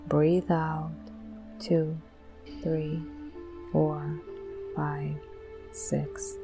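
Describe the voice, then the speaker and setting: A woman leading a guided meditation in a gentle, soothing, deliberate manner with long pauses. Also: deep voice, completely flat delivery, vocal fry, over music.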